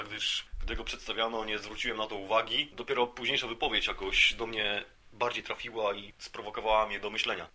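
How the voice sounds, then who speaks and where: Sharp-voiced, husky man rambling satirically. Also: medium pitch. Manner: mock-serious, rambling, with colloquial asides. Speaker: podcast host. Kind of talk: satirical monologue, casual.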